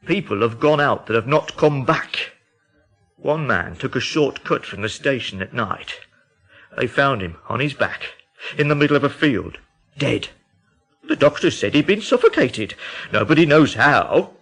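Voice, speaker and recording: A man's voice: medium pitch, animated, measured pace, a bit bright. An actor playing an alarmed, agitated man from the English Midlands in a radio drama, telling things with dramatic urgency. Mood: hushed dread.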